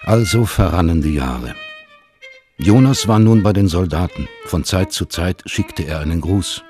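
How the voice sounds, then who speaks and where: An actor as a solemn storyteller, narrating a literary radio drama in measured phrases. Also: male voice, low voice, lively intonation, a little mellow, faint music behind. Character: sombre storyteller of a family tale.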